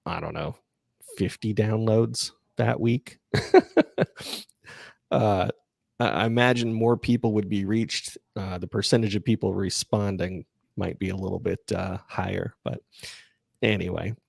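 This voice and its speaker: Male podcast host, low gravelly voice, close dry sound, halting and unassuming in casual Q&A. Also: fairly even intonation, dry recording. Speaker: podcast creator and livestream host. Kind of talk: casual chat, answering listener questions.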